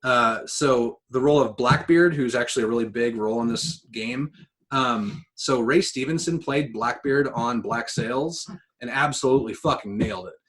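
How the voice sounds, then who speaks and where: A man's voice: medium pitch, normal speed, a bit bright. A man co-hosting a casual podcast, talking enthusiastically and swearing freely. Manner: profane, enthusiastic. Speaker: podcast co-host.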